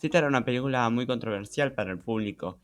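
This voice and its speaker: A man with a Rioplatense Argentine accent talks casually as a podcast co-host. His voice sits at an average pitch and his delivery is a little halting, with repeated words. There is faint music behind him.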